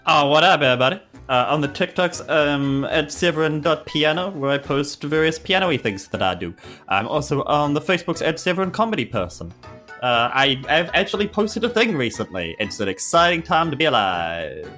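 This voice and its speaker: A woman with a low voice and lively, swinging intonation chats casually on a podcast. She is playful and jokey. Music plays behind her. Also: brisk. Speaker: podcast cast player. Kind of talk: casual chat.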